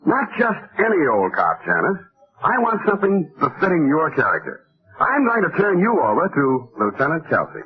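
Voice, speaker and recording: An actor playing a wry, cynical American private detective in a deadpan 1940s radio style. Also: male voice, fairly high pitch, normal speed, husky, exaggerated voice. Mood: cool, resolute. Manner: sardonic, deadpan. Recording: thin, muffled vintage radio recording.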